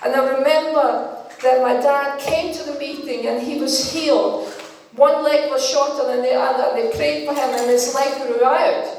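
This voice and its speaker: Older female preacher from Denmark, Danish native; deliberate, repeats lines for emphasis; distant, echoey church sound. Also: sits high, fairly even intonation, a little mellow, a little hiss or hum. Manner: slow, emphatic, repeats key phrases. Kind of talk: sermon. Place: church hall. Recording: echoey hall, from far off.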